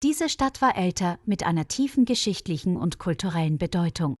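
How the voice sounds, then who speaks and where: A woman's voice: lowish voice, lively intonation, fairly quick, darkish tone, dry recording. A woman reading a scripted story for language learners as its narrator. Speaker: narrator of stories for German learners.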